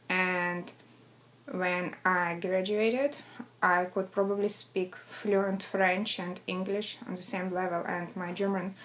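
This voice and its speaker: A woman in her thirties with a Russian accent speaks at a middle pitch. She is a native Russian speaker, answering in a personal interview style. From southern Russia. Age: 30s. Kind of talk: personal interview about own schooling and life.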